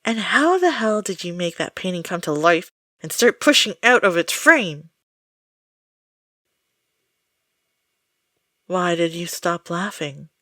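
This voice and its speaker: A woman playing an exasperated, jumpy friend caught up in a haunted-house outing, sarcastic and expressive, in an audio roleplay. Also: on the low side, normal speed, no echo, clean studio sound. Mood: angry, unnerved.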